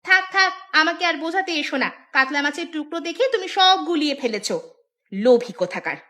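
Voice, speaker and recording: A woman voicing a sharp-tongued, scheming village wife in a cartoon, scolding and exasperated, with an emphatic delivery. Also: high voice, lively intonation, fast. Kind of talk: Bengali cartoon voice acting.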